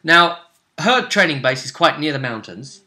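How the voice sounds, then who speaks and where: A man's voice: a little high, expressive, normal speed, bright, forceful tone. A man chatting casually as a game master, recapping a tabletop role-playing session and often repeating phrases as he goes.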